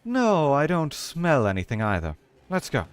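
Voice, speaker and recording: A man's voice: a little high, wildly swooping pitch, normal speed, pitched above the performer's own voice. A man voicing a haughty, commanding female executor of a fallen empire in a dramatic game story reading, imperious and dismissive.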